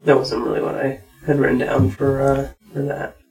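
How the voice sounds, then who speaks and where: A man co-hosting a podcast, talking in a relaxed, reflective and plain way. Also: mid-range voice, normal speed, gravelly, rough recording. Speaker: podcast co-host. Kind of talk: casual conversation.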